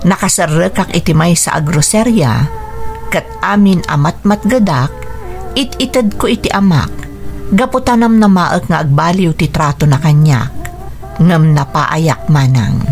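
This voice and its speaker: Plaintive young storyteller. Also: female voice, low voice, unhurried, a little mellow, faint music behind. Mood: sad, confiding. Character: humble, hurt young letter writer recounting hard childhood. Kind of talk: scripted first-person radio drama narration.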